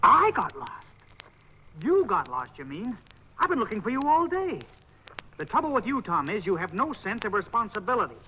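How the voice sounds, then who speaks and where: A man's voice: sits high, brisk, hardly any pauses, sharp tone, a little hiss or hum, rough recording. A man voicing a fussy forest friend who lectures in an exasperated way.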